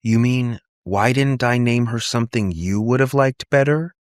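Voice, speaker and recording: A man's voice: mid-range voice, normal speed, no echo, studio quality, voice pushed up, close to an everyday voice. A man voicing a sullen, touchy young girl in an audiobook, with a curt, accusatory manner. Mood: defensive. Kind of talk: audiobook character dialogue.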